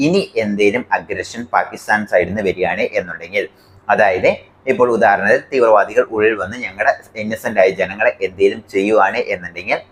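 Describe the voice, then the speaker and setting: A man's voice: lowish voice, fairly bright tone, a little hiss or hum. A man from India with a Malayali accent explains the news to viewers as a commentary host, emphatic and point by point.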